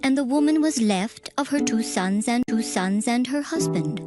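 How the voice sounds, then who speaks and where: A woman narrator reading scripture as a scripted dramatized story, in a measured, solemn storytelling manner. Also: a little high, expressive. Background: music.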